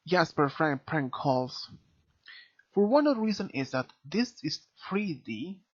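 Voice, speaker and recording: Slow, halting reviewer with lively pitch swings. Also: slightly rough. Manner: halting, searching for words. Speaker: video reviewer. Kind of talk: unscripted review commentary. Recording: dull, lo-fi mic sound.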